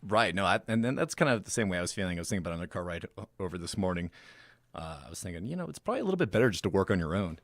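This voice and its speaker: A man's voice: deep voice, sing-song, rapid-fire, no room sound, studio quality. A man hosting a casual radio interview, asking loose, rambling questions that often restart.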